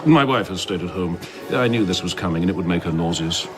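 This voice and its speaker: An actor playing a proud, mocking citizen of an ideal island in an audio drama, speaking with scornful amusement. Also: male voice, deep voice, expressive, fast talker, music in the background.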